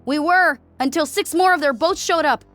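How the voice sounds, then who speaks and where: A woman voicing a battle-hardened female army sergeant in an audiobook, with a forceful, brisk military manner. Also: sits high, pitched above the performer's own voice. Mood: urgent report.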